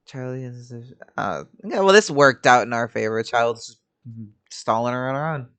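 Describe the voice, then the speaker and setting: A woman's voice: rumbling low, animated, moderate pace, fairly bright tone, clear, resonant tone, no echo. A woman game streamer giving casual, offhand reactions and muttered asides while playing. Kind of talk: casual gameplay reaction commentary.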